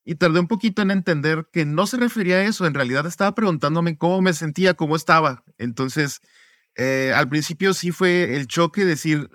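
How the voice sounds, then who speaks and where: A man's voice: fairly high pitch, a little fast, fairly bright tone, no room sound. Man, from Mexico, podcast guest, reflective, tag questions, dry sound. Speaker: podcast guest, student advocate. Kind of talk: conversational interview answers.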